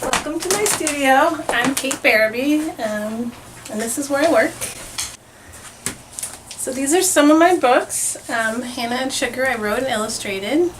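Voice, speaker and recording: A young adult woman, an author and illustrator, calmly explains her work while showing visitors around her art studio. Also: on the high side. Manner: unhurried, explanatory. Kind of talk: casual studio tour. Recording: noticeable background noise.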